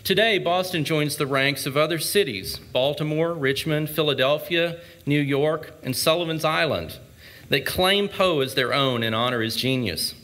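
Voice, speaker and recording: A man delivering a formal ceremonial speech with measured, oratorical phrasing. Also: on the high side, fairly bright tone. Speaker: speaker at a commemoration.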